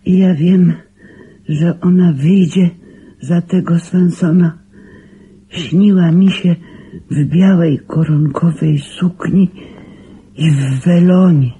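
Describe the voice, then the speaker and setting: An actress in a radio drama playing a troubled, foreboding dreamer with a husky voice. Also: female voice, fairly low pitch, fairly even intonation, slow, pauses often, warm tone, full character voice. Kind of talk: slow radio drama monologue.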